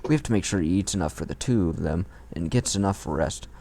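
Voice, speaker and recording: A man's voice: low voice, quick pace, creaky, mellow. A man reading fan fiction aloud in a mocking, irreverent way, tossing in crude comments of his own.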